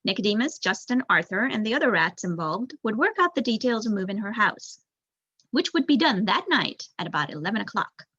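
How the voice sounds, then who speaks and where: A woman's voice: mid-range voice, big pitch swings, fairly quick, sharp tone, breathy edge, no echo. A young adult woman reading a children's novel aloud as a storyteller, on a dull recording. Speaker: volunteer story reader, student.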